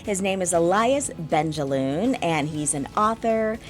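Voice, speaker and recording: A woman's voice: fairly low pitch, animated, unhurried, faint music behind. A woman hosting a studio interview show, speaking in an encouraging manner. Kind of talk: studio interview with scripted introduction.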